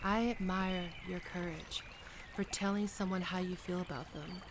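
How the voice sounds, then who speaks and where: A performer playing a gentle young best friend with a secret crush, speaking softly and hesitantly in an ASMR roleplay, comforting and tender. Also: medium pitch, fairly even intonation, moderate pace, noisy background, lightly acted. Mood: tender, reassuring. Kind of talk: soft-spoken ASMR roleplay.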